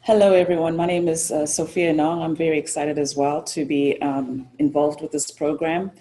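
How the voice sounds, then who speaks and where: A woman's voice: sits low, level tone, normal speed, dark tone, rough recording. A woman on a university faculty, giving an informational self-introduction.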